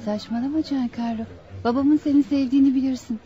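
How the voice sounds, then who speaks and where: High-pitched anxious young bride-to-be played by an actress. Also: female voice, steady tone, quick pace, dark tone, close to an everyday voice. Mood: curious, concerned. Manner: theatrical, pleading. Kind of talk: Turkish radio theatre acting. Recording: dull lo-fi radio drama recording.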